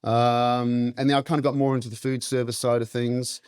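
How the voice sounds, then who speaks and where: A man's voice: medium pitch, level tone, a little fast, a little mellow, smooth, dry recording, clean studio sound. A middle-aged man with a New Zealand accent, telling stories casually as an interview guest. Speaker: interview guest, meat specialist and butcher. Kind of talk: casual conversational storytelling.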